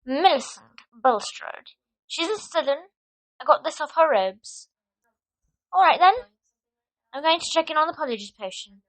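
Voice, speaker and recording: A woman's voice: sits high, expressive, normal speed, pauses often, no echo, low-quality recording. A woman playing a clever, bossy schoolgirl witch in an audio drama, assured and instructing. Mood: confident, scheming.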